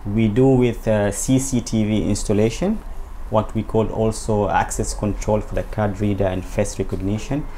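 A man in his thirties from Zanzibar, answering interview questions and explaining in a halting, pausing way. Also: lowish voice, fairly even intonation, warm tone. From Zanzibar, Tanzania. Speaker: interview guest, company owner. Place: small indoor office, seated at a desk. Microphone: lapel. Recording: clear background noise, room ambience.

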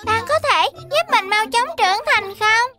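Teeny squeaky baby woodpecker, eager and pleading, loud kiddie music behind. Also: female voice, unhurried. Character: small eager young woodpecker chick. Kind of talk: dubbed children's cartoon acting.

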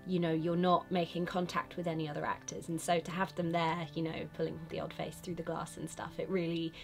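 A woman's voice: fairly low pitch, little pitch movement, fairly quick, gravelly. A young British woman, an actress, chatting casually in an interview. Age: young adult. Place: studio room, indoors. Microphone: lapel mic. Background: music.

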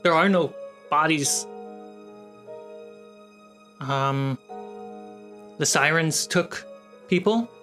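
Woman game master for fantasy tabletop narration; low, slow delivery over a clearly audible score. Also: fairly bright tone. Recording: dramatic music under the voice. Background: background score.

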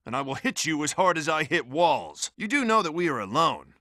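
A man's voice: fairly high pitch, sing-song, fairly quick, bright, forceful tone, no echo, clean studio sound, exaggerated voice. An actor voicing a brash, tough, dim male echidna brawler in a cartoon video game, cocky, blunt and grumbling. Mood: gruff, cocky.

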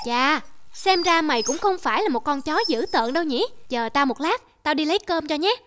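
A woman voicing a gentle, kindly young novice monk boy in a cartoon folktale. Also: high-pitched, expressive, fairly quick, slight background noise. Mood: gentle, coaxing.

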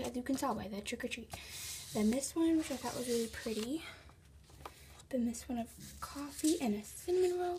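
Teen girl vlogging on her phone, high voice, kinda hoarse, lots of um and like. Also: a little hiss or hum. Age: teens. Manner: chatty, lots of fillers, upbeat. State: slightly hoarse, losing her voice. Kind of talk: casual vlog. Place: house, indoors and on a back deck. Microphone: phone camera at arm's length.

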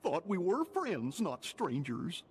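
A good-natured, trusting cartoon dog for a video game. The voice is husky and gulping, swinging widely in pitch at a slow, folksy pace. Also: male voice, huge pitch swings, a little mellow, full character voice. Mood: hurt. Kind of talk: animated video game dialogue. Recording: long hall reverb, music behind.

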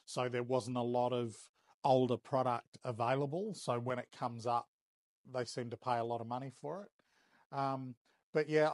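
A man in his fifties with an Australian accent, explaining things casually in a measured, matter-of-fact way with frequent hesitations. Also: mid-range voice, dry recording. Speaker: auction house operator. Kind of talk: casual explanatory interview talk. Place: indoors, warehouse auction rooms and workshop.

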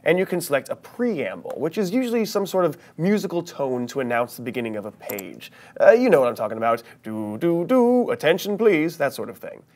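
An American man in his thirties presenting a scripted but conversational software tutorial in an animated, playful way. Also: medium pitch, quick pace, few pauses. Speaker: software training instructor.

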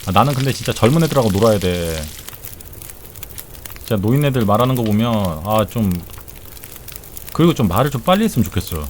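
Lively, rambling man in his forties. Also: on the low side, brisk, pauses often, a little hiss or hum. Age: 40s. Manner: rambling, joking banter with viewers, blunt swearing. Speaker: game streamer. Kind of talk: casual live-stream chat while gaming.

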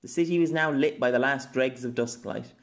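A man narrates an audiobook in a medium-pitched voice. He reads scripted fiction as a storyteller. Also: a little fast, creaky.

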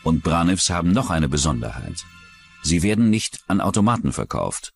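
A man with a deep voice narrates a true-crime documentary. His scripted delivery is dramatic and melodically varied, with music underneath. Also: sing-song, moderate pace, gravelly.

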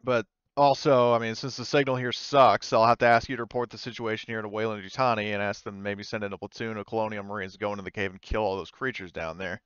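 A man's voice: on the low side, steady tone, fast talker, hardly any pauses, fairly bright tone, clean tone, no room sound, lightly acted. A man voicing a frank, concerned female colony administrator in a tabletop space game, in slightly dull close audio. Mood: businesslike.

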